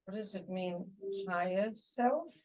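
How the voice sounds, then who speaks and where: A woman teaching a guided meditation, giving soothing, unhurried instructions in short phrases with pauses. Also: mid-range voice, lively intonation, a little mellow, far from the mic.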